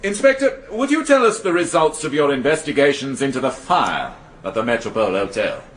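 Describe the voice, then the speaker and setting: Formal British barrister, very animated pitch. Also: male voice, a little hiss or hum. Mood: probing. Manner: formal, measured questioning. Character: formal male prosecuting barrister, probing and deliberate. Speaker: prosecuting counsel. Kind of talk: radio courtroom drama acting.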